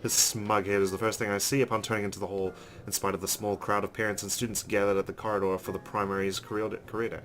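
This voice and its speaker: A male let's play host with a lowish voice reads game narration aloud. He phrases it like a storyteller, with clear guitar music playing behind him. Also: little pitch movement, fast talker, few pauses, a bit bright. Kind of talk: reading visual novel narration aloud. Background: background music with guitar.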